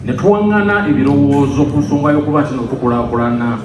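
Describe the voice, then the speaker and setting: Lively man speaking in a noisy hall. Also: mid-range voice, fast. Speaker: candidate at a community meeting. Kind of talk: speech to a meeting. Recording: roomy hall from far off, noisy background.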